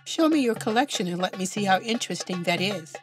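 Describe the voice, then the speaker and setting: A woman's voice: medium pitch, lively intonation, fast talker, soft background music, close to an everyday voice. A woman voicing a curious, trusting mother in a children's cartoon, with an inquisitive manner. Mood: puzzled, curious.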